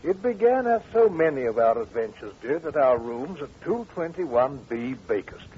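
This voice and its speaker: A dull, faintly hissy old radio recording of an avuncular English gentleman storyteller. His voice is a little high and swings animatedly as he chats in genial period radio drama manner. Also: sing-song, moderate pace. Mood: genial reminiscing. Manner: avuncular, chatty. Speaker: narrator and sidekick. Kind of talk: 1940s radio acting.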